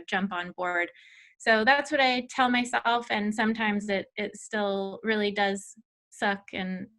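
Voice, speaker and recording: A woman talking frankly to a gathering about her own path as a founder. Her voice runs rather high for her, and she leans on fillers and small restarts as she goes. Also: creaky, a bit bright. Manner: candid, filler-heavy speech. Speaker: company founder. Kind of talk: personal talk to an audience.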